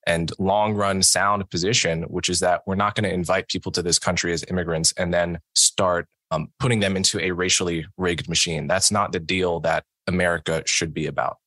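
Man, very low voice, bright tone, pointed debate delivery. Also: steady tone, brisk, hardly any pauses, no echo. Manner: pointed, argumentative. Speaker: podcast host and debater. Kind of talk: formal debate rebuttal.

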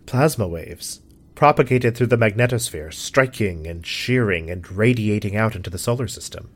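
An actor playing a pompous, eccentric scientist in an audio drama, speaking formally and with precise, theatrical diction. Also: male voice, fairly low pitch, animated, moderate pace. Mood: earnest, grandiose. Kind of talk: scripted audio drama acting.

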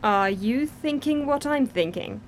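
Higher-voiced, wry British agent. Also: female voice, expressive, measured pace, slight background noise. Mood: incredulous, wry. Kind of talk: audio drama acting.

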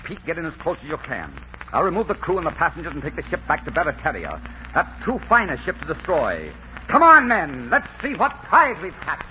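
Commanding pirate captain, swooping delivery. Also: male voice, fairly high pitch, wildly swooping pitch, fairly quick, bright, forceful tone, heavily acted. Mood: commanding, urgent. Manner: authoritative. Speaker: leading actor. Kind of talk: 1940s radio drama acting. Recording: dull, noisy 1940s radio recording.

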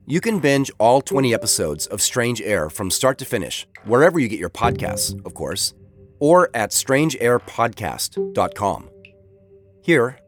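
Expressive male podcast narrator over faint music. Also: lowish voice. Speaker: podcast host. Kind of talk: scripted narration, audio drama recommendation.

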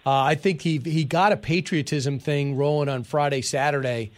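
A male radio host talking briskly and conversationally, giving opinions and taking calls. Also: on the high side, fairly even intonation. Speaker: radio talk show host. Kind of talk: talk radio.

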